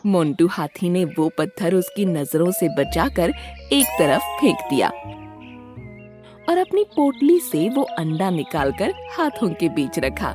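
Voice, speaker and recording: Animated woman narrating a children's story. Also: lowish voice, slow. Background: background music.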